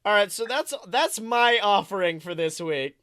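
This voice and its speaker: A podcast co-host in casual comedic chat, jokey and quick to laugh. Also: medium pitch, a bit bright, smooth, dry recording, clean studio sound.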